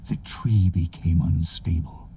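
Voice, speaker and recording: An actor playing a solemn, sorrowful elven elder in a fantasy game, telling a tale in a grave, measured way. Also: male voice, deep voice, steady tone, gravelly, warm tone, a little hiss or hum. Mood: mournful. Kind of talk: scripted fantasy game dialogue.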